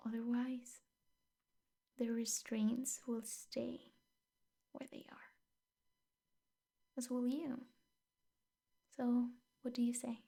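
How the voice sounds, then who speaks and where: A woman playing a possessive, obsessive yandere teacher girlfriend in an ASMR roleplay, speaking softly and often whispering, coaxing and doting with menace underneath. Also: fairly high pitch, heavily acted. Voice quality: soft, breathy, often whispering. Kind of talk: ASMR roleplay, intimate scripted monologue.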